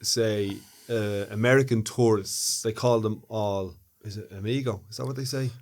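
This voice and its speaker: A man's voice: fairly low pitch, steady tone, normal speed, a little mellow. A man co-hosting a casual podcast chat in a room, curious and prompting his partner with questions. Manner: curious, asks questions and prompts the other speaker. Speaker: podcast co-host. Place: room, indoors.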